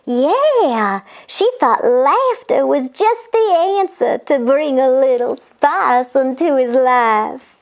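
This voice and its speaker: Woman voicing a slow, drawling kindly lady butterfly. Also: sits high, lively intonation, exaggerated voice. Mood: thoughtful, advising. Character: kindly, drawling female butterfly, gently helpful storybook character.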